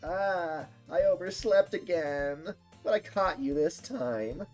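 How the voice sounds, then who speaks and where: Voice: medium pitch, slow pace, darkish tone, soft background music, pitched above the performer's own voice, natural voice. A streamer voicing a cheerful, scatterbrained teenage anime schoolgirl from a visual novel, airy and pleading. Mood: breathless, teasing.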